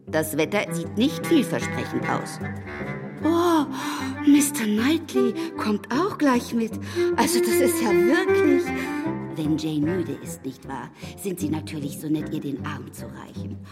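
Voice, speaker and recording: An actress playing a gushing, rambling elderly spinster in a radio play, jumping from thought to thought. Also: female voice, mid-range voice, big pitch swings, a little slow. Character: chattering elderly spinster, kindly and breathless. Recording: studio radio play with long reverb and music.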